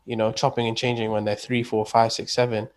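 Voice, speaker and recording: A man's voice: lowish voice, level tone, fairly quick. A man talking casually as a guest, rambling with many restarts and fillers. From England. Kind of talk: casual conversation.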